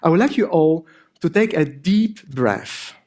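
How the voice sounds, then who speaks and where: Middle aged guy w french accent, slight polish tinge, voice a bit high, presenting on stage, hesitant n deadpan. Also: measured pace. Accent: French with a hint of Polish. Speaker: conference speaker, AI researcher and improviser. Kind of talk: informal stage presentation with dry humour. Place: on stage at a conference.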